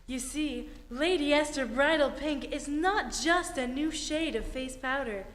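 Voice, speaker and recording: A woman's voice: high-pitched, unhurried, a bit bright, slight background noise. Woman doing a posh-mannered 1940s radio beauty lady, persuasive sales read, big echoey room. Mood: persuasive, genteel. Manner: polished, persuasive. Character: genteel lady beauty expert selling face powder. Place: theatre stage. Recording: echoey hall, live stage recording.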